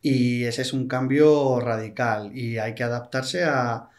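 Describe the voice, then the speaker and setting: A man in his thirties from Toledo chats as a podcast guest. His voice sits at an ordinary middle pitch, relaxed and unhurried, with lots of repeated words. He speaks into a boom-mounted studio mic in a small room. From Toledo, Spain. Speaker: podcast guest, hunting platform founder. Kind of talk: casual interview talk. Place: small studio with wood panels and a plant. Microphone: large studio mic on a boom arm, headphones.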